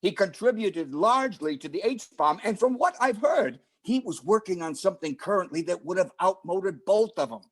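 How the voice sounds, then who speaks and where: A man's voice: high voice, lively intonation, moderate pace, few pauses. A man playing a police lieutenant in a 1940s-style radio drama, teasing and a little condescending. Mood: explaining. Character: male police lieutenant, wry, fond and patronizing.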